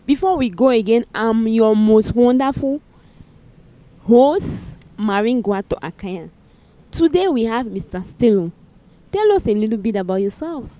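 A woman from Cameroon in her forties hosts an interview outdoors in a park, speaking into a handheld microphone. Her voice sits fairly high, and she gushes with excitement, repeating how happy she is. The delivery is warm-hearted and effusive. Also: measured pace, dry recording. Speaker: talk show host. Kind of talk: enthusiastic interview hosting.

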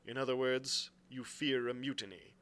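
A man voicing a shrewd, reasonable gentleman doctor in an adventure story, measured and probing. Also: medium pitch, fairly even intonation, pitched above the performer's own voice, lightly acted.

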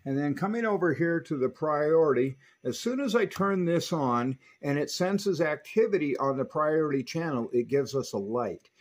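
A man's voice: a little high, lively intonation, normal speed, few pauses. A man giving a hands-on technical equipment review, explaining each detail methodically as a presenter.

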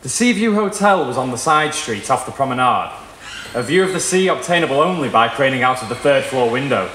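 A young man reading a literary short story aloud in a measured, dramatic way. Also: mid-range voice, lively intonation, fairly bright tone, roomy sound, a little hiss or hum, rough recording. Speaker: reader at a live short story event. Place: small indoor performance room with wood panelling.

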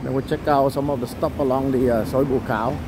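A man's voice: medium pitch, steady tone, brisk, noisy background. A man giving casual walk-along travel vlog narration in clipped, simple phrases, outdoors on a busy street. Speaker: travel vlogger. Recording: loud music behind, outdoor street. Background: loud music, passing vehicles.

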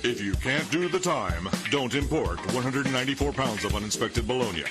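A man's voice: mid-range voice, expressive, brisk, loud music behind the voice. A man narrating a mock trailer for a crime show as a radio promo announcer.

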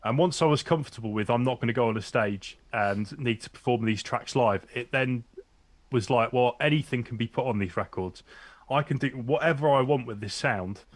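Laid-back baritone man, music producer guest. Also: moderate pace, dry recording. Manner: relaxed, self-deprecating, many ums. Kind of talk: casual interview chat. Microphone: studio microphone over video call.

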